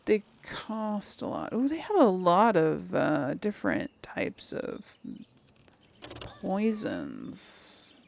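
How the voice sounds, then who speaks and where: Woman, gaming host, thinking aloud, unhurried, creaky voice, casual murmured commentary. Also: medium pitch, sing-song. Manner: murmurs to self, reads item text aloud.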